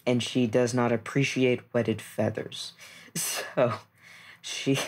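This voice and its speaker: A woman narrating her character's actions as a tabletop game player, in a halting, deliberate manner. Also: a bass voice, steady tone.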